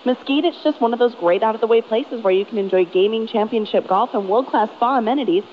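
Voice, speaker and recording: A woman's voice: fairly high pitch, fast talker. Woman traffic reporter on muffled American radio. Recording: muffled radio aircheck with noise.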